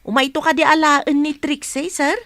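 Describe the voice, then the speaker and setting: A woman's voice: high-pitched, level tone, moderate pace, a bit bright, dry recording. A woman playing a chatty, deferential housemaid in an Ilocano radio comedy-drama. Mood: deferential, flustered.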